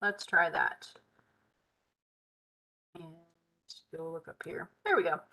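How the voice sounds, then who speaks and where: Hoarse, chatty woman teaching software live. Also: fairly low pitch, lively intonation, takes it slowly, rough recording. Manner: chatty, Southern "y'all", muttering while working. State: tired, hoarse voice. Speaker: software tutorial host. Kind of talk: live screen-share tutorial, thinking aloud.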